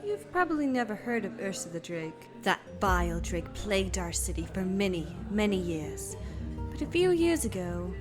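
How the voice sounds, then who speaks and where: A woman playing a bossy, brash servant in a dramatic fan dub, brusque and expressive. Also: mid-range voice, moderate pace, close to an everyday voice. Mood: explaining. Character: bossy, scolding female servant, brash and exasperated. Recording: music behind.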